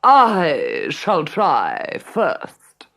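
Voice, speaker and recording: Vain, domineering storybook stepmother, voiced by a woman: middle-aged, imperious, booming, very drawn-out delivery. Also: wildly swooping pitch, a bit bright, exaggerated voice. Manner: booming, haughty, slow drawl. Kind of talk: dramatised children's storybook reading.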